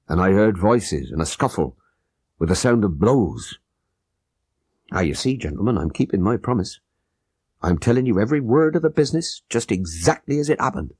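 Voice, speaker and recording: Male narrator as ex-soldier telling his crime; husky, old-fashioned, earnest, dramatically swooping delivery. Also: deep voice, darkish tone, dry recording, clean studio sound. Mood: suspenseful. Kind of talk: audiobook character narration.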